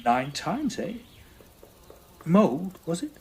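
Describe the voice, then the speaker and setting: A man's voice: fairly high pitch, very animated, drawn-out words, dark tone, a little hiss or hum, heavily acted. A man playing a courteous, slightly flustered Edwardian house agent in an audio drama, speaking formally in an English accent. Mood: businesslike, inquisitive. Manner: formal, fussily polite, theatrical. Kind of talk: audio drama acting with first-person narration.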